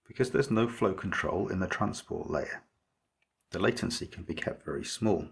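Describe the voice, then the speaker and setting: A man narrating a scripted electronics project tutorial as its maker, explaining methodically in a measured way. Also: deep voice, creaky, breathy edge.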